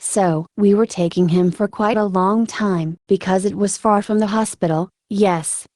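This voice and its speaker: A woman giving reflective interview answers in a halting, thoughtful way with frequent filler words. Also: medium pitch, fairly even intonation, a little mellow, no room sound, studio quality. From Ukraine. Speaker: military combat medic being interviewed.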